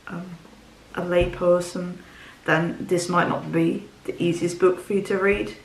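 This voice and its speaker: Young woman, rambling book chat, lots of ums, lowish voice, roomy echo. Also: level tone, a little slow, creaky, a little hiss or hum. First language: Dutch. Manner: rambling, frequent ums and restarts. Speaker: book vlogger. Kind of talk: casual book talk to camera. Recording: roomy echo, faint ambience.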